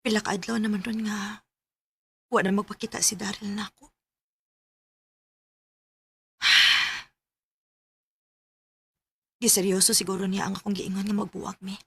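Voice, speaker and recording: A woman's voice: mid-range voice, flat delivery, slow, vocal fry, pauses often, sharp tone, slightly rough, heavily acted. An actress with a Bisaya accent from the Philippines, playing a young student woman caught up in romance in a radio drama. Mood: wistful, brooding. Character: young student woman torn between boyfriend and suitor.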